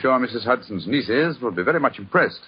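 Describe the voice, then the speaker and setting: A man's voice: medium pitch, animated, fairly quick, slightly rough, dry recording. A man playing a witty, cerebral English detective in 1940s radio drama, speaking precisely with dry teasing. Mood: amused, teasing. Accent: British. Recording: muffled 1940s radio broadcast recording.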